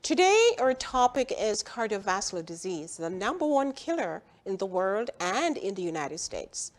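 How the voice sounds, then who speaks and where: A woman's voice: mid-range voice, big pitch swings, normal speed. A woman doctor hosting a TV health show in a studio, speaking emphatically and asking the viewer questions.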